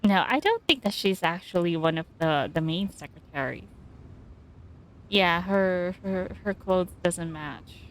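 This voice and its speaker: A woman giving casual, musing live commentary as she streams a game, in a halting way. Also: lowish voice, lively intonation, a little hiss or hum, low-quality recording.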